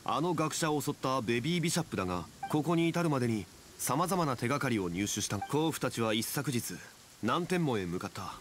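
A dignified anime game gentleman, acted by a man, with a mid-pitched voice. He speaks formally and with calm authority, measured and composed, over faint background noise. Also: lightly acted. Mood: calm, analytical. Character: composed, dignified gentleman scholar-consultant in a fantasy world.

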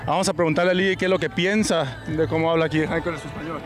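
A man's voice: a little high, fairly even intonation, rapid-fire, music in the background. A man casually interviewing his teammates on a ballpark field with a handheld mic, laughing and joking. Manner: playful, laughs often, mixes in English phrases. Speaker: player and interviewer.

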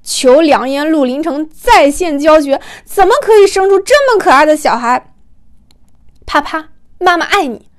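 A woman voicing excitable young livestream fans, gushing and teasing in exclamations, for an audiobook. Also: high-pitched, normal speed, natural voice. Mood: excited gushing.

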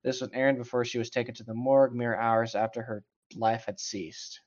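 Guy reading stories out loud casually, irreverent and sweary, kinda dull lofi mic. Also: medium pitch, fairly even intonation, a little fast. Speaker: co-host reading stories aloud. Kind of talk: casual reading aloud with joking commentary. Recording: dull, lo-fi microphone.

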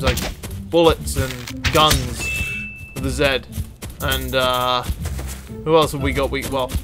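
A man giving casual, excitable gameplay commentary as a gaming video creator. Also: mid-range voice. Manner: excitable exclamations, sweary banter. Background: game music.

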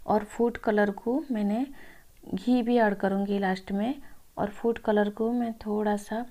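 Creaky-voiced woman giving an informal recipe tutorial. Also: mid-range voice, steady tone, a little slow, warm tone. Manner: addresses viewers as friends. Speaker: home cook presenting a recipe. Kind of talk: informal cooking tutorial voice-over.

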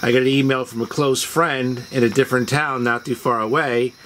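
Guy telling casual stories on his porch, mid pitch, some crickets behind him. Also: steady tone, fairly quick, fairly bright tone. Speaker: video host. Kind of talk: casual storytelling. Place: outdoors on a house porch at dusk. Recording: outdoor noise, chirping insects. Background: crickets and insects.

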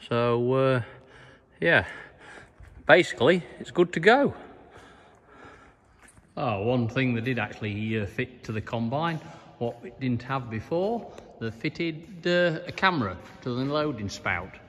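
A middle-aged man farmer giving a casual video diary, talking in a plain, unhurried way with many fillers, filmed on a phone held at arm's length. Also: medium pitch, lively intonation, a bit bright, big-room sound, slight background noise. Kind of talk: casual farm vlog. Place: farm sheds and yard, indoors and outdoors.

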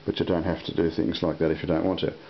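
A man giving a casual, unscripted product demonstration in a halting, unhurried way. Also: a bass voice, flat delivery. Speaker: product reviewer. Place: indoor room at a table. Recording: muffled lo-fi audio with hiss.

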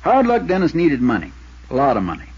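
Casting: long-winded, folksy insurance agent for 1950s radio drama; husky, muffled vintage sound. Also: male voice, fairly high pitch, wildly swooping pitch, a little mellow, exaggerated voice. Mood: patient, storytelling. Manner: folksy, drawn-out storytelling.

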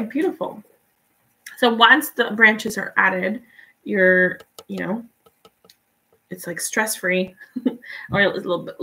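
A young woman from Guatemala whose first language is Spanish, chatting relaxed and giving step-by-step tips in a live painting tutorial. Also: medium pitch, low-quality recording. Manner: relaxed, chatty, laughs often, answers viewers' comments. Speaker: painting instructor.

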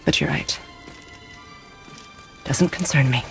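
A woman's voice: extremely low pitch, big pitch swings, exaggerated voice. Guarded forest witch, music behind. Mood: calm, knowing. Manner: measured, firm, cryptic. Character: guarded, solitary forest witch, knowing and calm. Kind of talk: cinematic video game acting.

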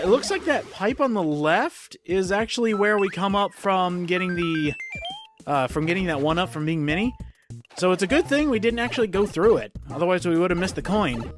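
A hoarse gameplay commentator, losing their voice to a cold, chatting casually and exclaiming at what happens on screen. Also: medium pitch. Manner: chatty, exclaims at game events. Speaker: let's play commentator. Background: faint game music.

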